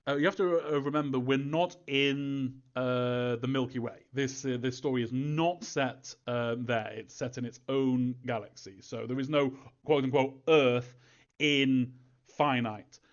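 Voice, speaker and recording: A man's voice: mid-range voice, fairly even intonation, unhurried. Hesitant man in dull lo-fi audio. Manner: hesitant, chuckling, evasive about story details. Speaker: game developer answering fan questions. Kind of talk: casual audience Q&A, reading questions aloud and answering.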